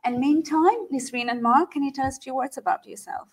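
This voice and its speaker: A woman with a higher voice hosts a live online session. She sounds upbeat and welcoming as she introduces her guests and greets viewers. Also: a little fast. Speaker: host.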